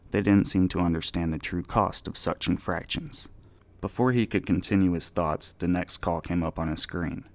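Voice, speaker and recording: A man's voice: low-pitched, vocal fry, no echo. A man narrating fiction as a dramatic scripted audiobook reading.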